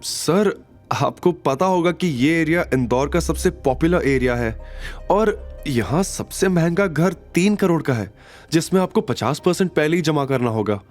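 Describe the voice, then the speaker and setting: A man's voice: a little high, expressive, unhurried, a little mellow, soft background music, voice pushed up. A man voicing a haughty, dismissive saleswoman in an audio drama, condescending with clipped politeness and some English words. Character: haughty dismissive young saleswoman in luxury real estate.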